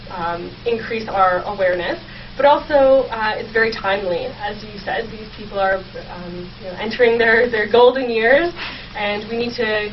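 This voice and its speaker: A young woman researcher explaining her work as an interview guest, speaking thoughtfully and haltingly with short pauses. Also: mid-range voice. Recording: dull sound, faint noise, distant mic.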